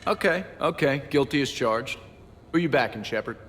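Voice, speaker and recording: A man's voice: medium pitch, animated, moderate pace, fairly bright tone, lightly acted. Friendly teasing crewman played by a man, roomy reverb. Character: friendly, teasing male crewman and keen sports fan. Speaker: video game voice actor. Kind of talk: casual game dialogue acting. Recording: reverberant room, faint ambient noise.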